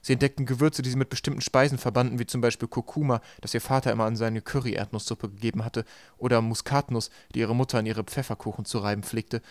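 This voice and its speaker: A man's voice: medium pitch, fairly even intonation, quick pace, dry recording. Man narrating a storybook, dry sound. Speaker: audiobook reader.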